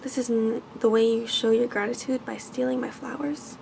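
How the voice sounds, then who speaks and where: A woman voicing an angry, commanding male beast in a bedtime storybook, with stern, formal speech, reading softly for ASMR. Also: fairly high pitch, flat delivery, moderate pace, gravelly, slight background noise.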